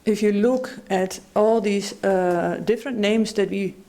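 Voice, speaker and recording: Woman guest on a TV panel, mellow and dark tone, thoughtful, pausing often. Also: medium pitch, steady tone. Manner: thoughtful, many fillers and pauses. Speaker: panel guest, medical anthropologist. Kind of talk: studio talk show discussion. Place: TV studio.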